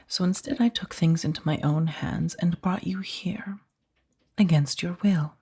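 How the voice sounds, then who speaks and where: A woman playing a powerful, seductive mafia boss in a soft-spoken ASMR roleplay, speaking in a breathy, measured, commanding and teasingly menacing way. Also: lowish voice, full character voice. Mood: cool, controlling. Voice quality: soft, breathy, often slipping toward whisper. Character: powerful female mafia boss, coolly controlling, seductive kidnapper.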